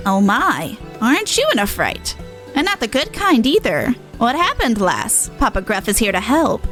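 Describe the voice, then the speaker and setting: A woman's voice: fairly high pitch, very animated, moderate pace, fairly bright tone, soft background music. A woman voicing a male monster clown from a video game, with theatrical, old-fashioned courtly phrasing. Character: male monster clown, gruff-named, theatrical, eager to scare.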